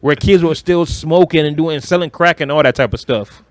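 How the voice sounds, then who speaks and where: A man's voice: on the high side, moderate pace, dry recording. A man talking casually about movies as a podcast co-host, in a blunt, slangy way with plenty of swearing.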